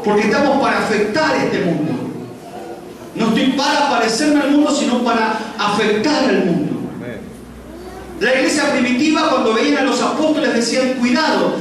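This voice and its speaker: A man's voice: very high-pitched, normal speed, background noise. A man preaching a fiery sermon, firing rhetorical questions and repeating lines for emphasis. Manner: rhetorical questions, shouting and repetition. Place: large church hall with congregation. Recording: echoey hall with distant mic and crowd.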